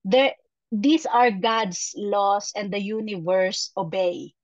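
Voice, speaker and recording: A Filipino woman coach delivers a motivational talk at a slow pace. Her phrasing is deliberate and emphatic, with repeated words. The audio is dry and somewhat dull, like a webinar call. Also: medium pitch, dry recording. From Philippines. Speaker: performance coach, guest speaker. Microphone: video call.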